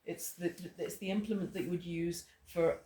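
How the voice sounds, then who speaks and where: A woman's voice: on the low side, steady tone, brisk, mellow. A middle-aged woman from Ireland teaching a small study group, digressing into stories with frequent restarts. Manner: digressive, storytelling, many restarts. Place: indoor room with a small group. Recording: roomy echo, faint noise.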